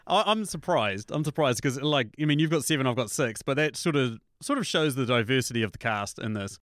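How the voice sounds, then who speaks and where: A young man with a New Zealand accent in casual chat, rambling, animated and swearing freely. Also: mid-range voice, brisk, no echo, studio quality.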